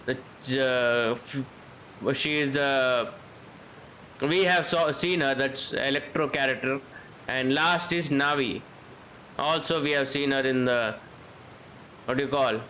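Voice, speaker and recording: Slow, halting male game streamer. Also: mid-range voice, bright, forceful tone, a little hiss or hum, poor audio. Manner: halting, searching for words. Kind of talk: casual live gameplay commentary.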